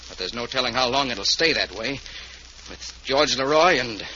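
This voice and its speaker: An actor playing a nervous, cowardly boyfriend afraid of gangsters in an old-time radio drama. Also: male voice, mid-range voice, expressive, moderate pace, bright, forceful tone. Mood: anxious, evasive. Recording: muffled, hissy old radio recording.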